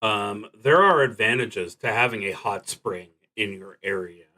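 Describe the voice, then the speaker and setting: A man with a lowish voice talks his way through a solo tabletop game. His delivery is very slow and deliberate, with halting stretches where he works out ideas aloud and lets thoughts trail off. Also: bright, forceful tone. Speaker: solo tabletop roleplaying streamer. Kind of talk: thinking aloud while playing a solo roleplaying game.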